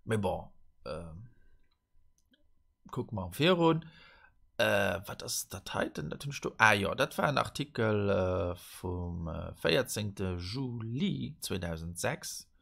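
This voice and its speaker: A man with a Luxembourgish accent and a gravelly voice, hosting a casual retro tech show and reading out old articles with many fillers and restarts. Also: fairly low pitch, animated, slow, fairly bright tone. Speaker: host.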